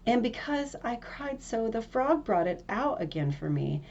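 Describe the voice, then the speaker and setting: A woman's voice: fairly high pitch, sing-song, measured pace, darkish tone, dull audio, a little hiss or hum. A woman reading a fairy tale as a spoiled young princess, disdainful and easily frightened. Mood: anxious, reluctant.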